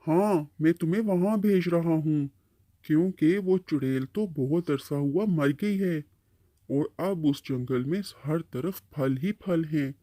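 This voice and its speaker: A man's voice: fairly high pitch, measured pace, mellow, dry recording, close to an everyday voice. A man playing a kindly uncle tree in a bird cartoon, patiently explaining and advising. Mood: inviting. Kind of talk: Hindi-Urdu cartoon storytelling dialogue.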